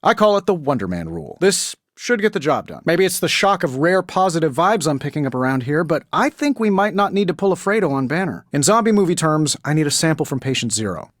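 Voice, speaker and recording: An actor voicing a cocky, wisecracking genius inventor superhero in a video game, with an American accent and a sarcastic, glib delivery. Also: male voice, on the high side, sing-song, fast talker, few pauses, no echo, clean studio sound. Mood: playful, wry. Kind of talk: video game character voice lines.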